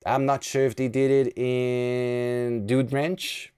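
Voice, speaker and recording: Brazilian guy on a late night stream, sleepy, rambly, mellow low-key voice. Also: level tone, takes it slowly, clean tone. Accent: Brazilian Portuguese. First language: Portuguese. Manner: rambling, repeats words as he restarts. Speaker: live stream host. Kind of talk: casual live stream chat.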